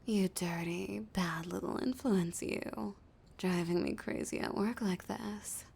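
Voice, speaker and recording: A woman performs a seductive female villain in an intimate audio roleplay. Her voice is husky and breathy with a crackle of fry, sitting at a middle pitch. She draws her lines out very slowly, dominant and teasing, often sinking to a whisper. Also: steady tone, a little mellow, exaggerated voice. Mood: aroused, teasing.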